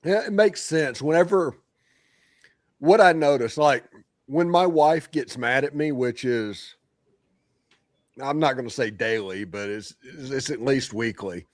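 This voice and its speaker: A man from Tennessee co-hosting a casual sports podcast, telling stories quickly. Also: medium pitch, no room sound, studio quality. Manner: quick, storytelling. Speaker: podcast co-host.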